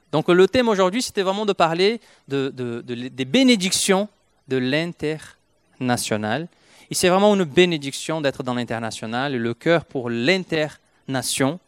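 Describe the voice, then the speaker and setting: A man from Brazil shares a heartfelt testimony at a church gathering, sitting a little high in his register. He speaks earnestly, often stumbling and restarting his phrases. The sound is close and dry. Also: no echo. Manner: earnest, halting with frequent restarts.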